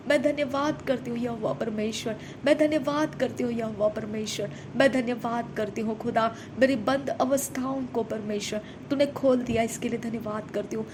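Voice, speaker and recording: A woman with a high voice prays fervently, repeating her thanksgiving in a steady, chant-like rhythm. A low rumble and clear background noise run under the recording. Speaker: prayer minister. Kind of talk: spoken devotional prayer.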